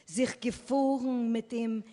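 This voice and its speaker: A woman's voice: a little high, steady tone, slow, dark tone. A woman performer giving a dramatic literary reading on stage, recorded in a reverberant hall. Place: live event on stage.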